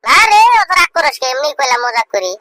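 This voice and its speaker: Cartoon young male swindler, played by a woman: high, quick, pushy and scheming. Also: animated, bright, forceful tone, dry recording. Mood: scheming, bickering. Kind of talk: cartoon comedy dialogue. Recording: some background noise.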